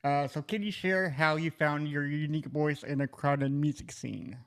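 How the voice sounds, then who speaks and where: A man hosting an interview podcast, loose and jokey, often laughing and cutting in with quick replies. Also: fairly high pitch, steady tone. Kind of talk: casual interview chat.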